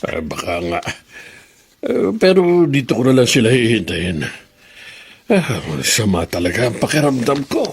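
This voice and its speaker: A man's voice: mid-range voice, sing-song, a little slow. An actor playing an older, ailing but dutiful grandfather in a Filipino radio drama. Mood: weary, unwell.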